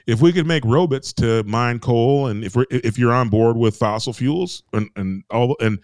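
A man's voice: medium pitch, moderate pace, a little mellow, no echo. An American man on a podcast, ranting hard and circling back to his points. His voice sits in a middle range and sounds close and dry, with no room around it. He restarts his sentences and swears as he builds his case. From United States. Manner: emphatic, repetitive, rambling with restarts and swearing. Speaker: podcast co-host. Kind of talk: ranting casual podcast chat.

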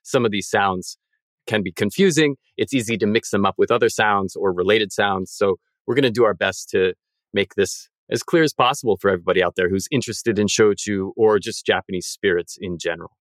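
A man's voice: on the low side, a little fast, no echo, studio quality. A man co-hosting a podcast, explaining things in a methodical, precise way. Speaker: podcast co-host.

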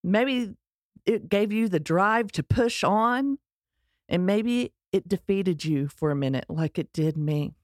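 A woman's voice: medium pitch, animated, slow pace, no room sound, studio quality. A middle-aged woman with a Texan accent telling her personal story in a candid, earnest way, with touches of self-deprecating humor. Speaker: speaker sharing her life story.

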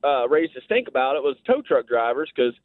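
Midwestern guy caller on a thin phone line, folksy talk, medium pitch. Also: sing-song, brisk, no echo. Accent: Midwestern American. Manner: colloquial, folksy grammar. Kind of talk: informal, explaining and arguing a point. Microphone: telephone.

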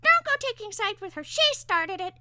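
Woman voicing a shrill, squeaky little male parakeet. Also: very animated, normal speed, heavy background noise. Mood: aggrieved, arguing. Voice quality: elfin, squeaky. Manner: exclaiming, whining, chattering. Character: small male talking parakeet, whiny, spiteful, wily.

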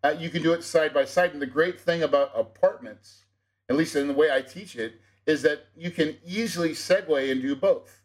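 High-voiced, pushy male podcast host. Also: normal speed, low-quality recording. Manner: energetic and persuasive, tags with "right?". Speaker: podcast host and real estate coach. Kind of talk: casual podcast interview.

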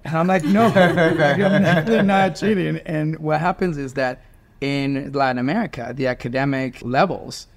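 A man in his forties from Venezuela with a Venezuelan accent and Spanish as his first language, hosting a conversation and telling animated stories with laughs. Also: on the high side, moderate pace, over a noisy crowd. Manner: animated storyteller, laughs while talking. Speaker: host. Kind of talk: casual panel conversation.

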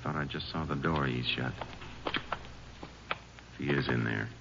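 Deep-voiced, commanding Texas Ranger. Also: male voice, slow, creaky, music in the background, exaggerated voice. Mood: hushed, alert. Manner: firm, coaxing, urgent. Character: steady, commanding Texas Ranger lawman, calm and coaxing. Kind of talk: 1950s radio western acting. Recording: muffled, noisy old radio recording.

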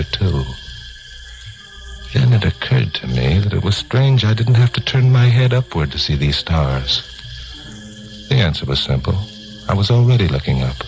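A low-pitched American man a hardboiled, wise-guy newsman in an old radio crime drama. He speaks in terse, sardonic lines with a dramatic edge. Music plays behind him on the 1950s broadcast recording. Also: poor audio. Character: tough, wry wise-guy news commentator turned crime investigator. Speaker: narrator and lead actor of a radio crime serial. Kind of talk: 1950s radio acting, hardboiled first-person narration.

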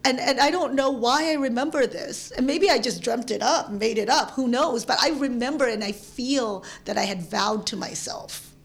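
A woman's voice: fairly high pitch, normal speed, few pauses. A Filipino-born woman in her thirties, a guest in an interview, talking casually with frequent laughs and restarts. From Philippines. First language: Tagalog. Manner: chatty, laughs often, many restarts.

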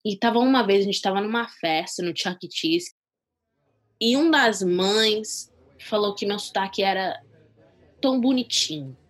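A young woman tells a personal story in an interview, animated and quick to react. Her voice is husky and mid-pitched. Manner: lively, animated retelling.

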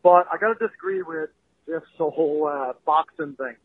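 A man's voice: on the high side, normal speed, slightly rough. A man from Newfoundland with a thick Newfoundland accent, calling in to a podcast, friendly and rambling with lots of fillers. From Newfoundland, Canada. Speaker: caller. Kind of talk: voicemail call-in. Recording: tinny phone line.

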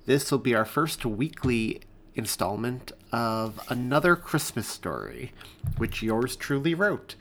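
A man hosting a podcast and reading his own story as expressive, deliberate narration. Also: medium pitch. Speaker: podcast host and author reading. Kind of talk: scripted narration, audiobook-style storytelling.

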